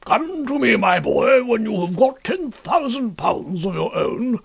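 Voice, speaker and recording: Man putting on a bluff, loud old colonel. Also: extremely high pitch, very animated, a little slow, gravelly, slightly rough, pitched above the performer's own voice, heavily acted. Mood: bluff, firm.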